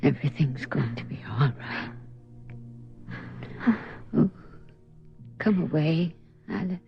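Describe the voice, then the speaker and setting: A woman full of horror and remorse. She speaks very slowly and dramatically in a radio horror play, the recording muffled with faint music underneath. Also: sits low, animated, vocal fry, full character voice. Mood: urgent, consoling.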